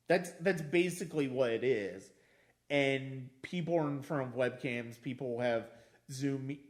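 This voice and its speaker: A man casually discussing films as a podcast host, haltingly repeating and restarting his phrases for emphasis. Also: mid-range voice, a little mellow.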